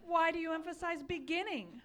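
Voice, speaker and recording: A woman's voice: very high-pitched, fairly quick. A woman playing a nagging, self-pitying, jealous wife in an old-time radio comedy, insistent, accusing and plaintive. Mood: wounded, demanding. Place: live theatre stage performance.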